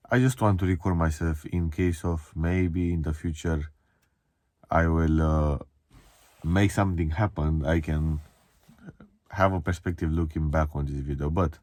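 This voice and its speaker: Male vlogger, twenty, Romanian accent. Dark, warm tone, dry close sound, candid and introspective. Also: sits low, unhurried, long pauses, no echo. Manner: candid, reflective, swearing. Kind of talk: casual confessional monologue to camera.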